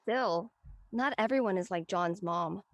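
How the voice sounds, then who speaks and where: A woman's voice: medium pitch, unhurried, close to an everyday voice. A woman playing a curious teenage friend, casual and questioning, in a drama read.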